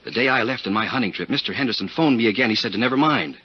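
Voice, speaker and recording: An actor playing a flustered, gossipy American insurance broker, earnest and confiding, in a 1950s radio drama. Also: male voice, medium pitch, rapid-fire, slightly rough, natural voice. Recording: muffled 1950s radio recording.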